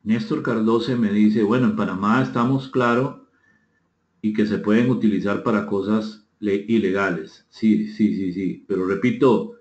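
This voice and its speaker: A man's voice: fairly low pitch, steady tone, a little slow, a little mellow. A man with a Costa Rican accent leading an online seminar, courteously inviting participation and repeating phrases as he reads out and answers comments. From Costa Rica. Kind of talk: interactive webinar talk, reading out chat comments. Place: indoor room, online session. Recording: echoey room, muffled dull audio.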